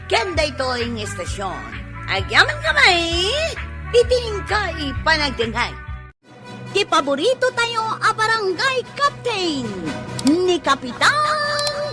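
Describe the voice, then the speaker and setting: A woman with an Ilocano accent reads drama credits as an announcer. Her delivery is slow and very animated, with loud music behind her. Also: very high-pitched.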